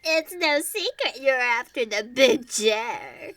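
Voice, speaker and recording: An actress playing an excitable, naive female fact checker in a comedic audio drama, melodramatic in manner. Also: fairly high pitch, slow, no room sound, far from the mic. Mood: dry. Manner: melodramatic, sighing and gasping.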